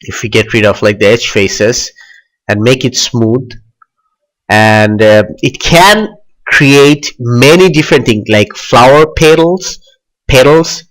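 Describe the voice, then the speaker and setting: A young man hosting a software tutorial in a loose, chatty way, with frequent "like" and "uh" fillers. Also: mid-range voice, takes it slowly, poor audio. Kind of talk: casual screen tutorial.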